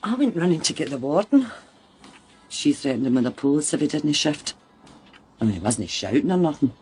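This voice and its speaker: This is a harassed working-class Scottish mother in a radio play. She is blunt and emphatic, in a lowish voice with very lively intonation. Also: fairly quick, faint music behind. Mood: earnest, upset. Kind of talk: radio crime drama acting.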